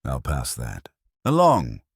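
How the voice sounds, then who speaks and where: A man playing a wry, wisecracking private detective in an audio mystery, with deadpan, clipped delivery. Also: rumbling low, takes it slowly, slightly rough, dry recording, studio quality, exaggerated voice. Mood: sardonic.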